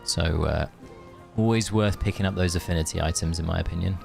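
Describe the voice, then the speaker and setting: A man's voice: sits low, fairly quick, gravelly, darkish tone. A man streaming a game, chatting casually and rambling in friendly asides to his viewers. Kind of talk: casual live gaming stream chat. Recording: music behind the voice.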